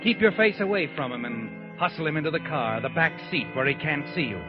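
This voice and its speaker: A man's voice: a little high, expressive, a little fast, lightly acted. A man narrating a 1940s radio mystery as a sinister all-knowing figure, taunting the listener as "you" over music. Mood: ominous. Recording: dull old radio broadcast with music.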